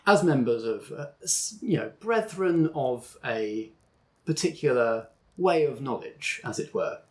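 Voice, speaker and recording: A man's voice: mid-range voice, expressive, unhurried, a little mellow, close to an everyday voice. Man doing an oily, condescending sorcerer CEO in a suit, for a tabletop game. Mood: oily polite. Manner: falsely polite, hesitant and condescending. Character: smooth, smug male corporate sorcerer in a suit.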